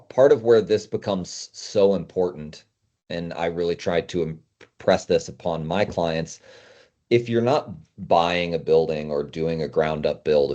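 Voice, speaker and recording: Deep voiced guy giving casual advice, mellow tone, makes his points firmly, kinda dull audio. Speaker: guest consultant. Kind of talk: casual advisory talk.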